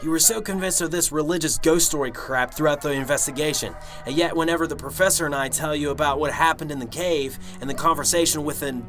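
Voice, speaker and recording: Man acting a sharp, sarcastic, skeptical police detective. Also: a little high, fast, few pauses, soft background music, close to an everyday voice. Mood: accusing. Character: cynical, sarcastic male police detective from Chicago. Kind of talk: amateur radio drama acting.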